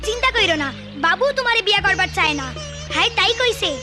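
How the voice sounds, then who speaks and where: A woman voicing a bright, helpful little village girl in a cartoon, with a rural Bangladeshi accent. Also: very high-pitched, fast talker, over music. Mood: eager. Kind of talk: cartoon voice acting.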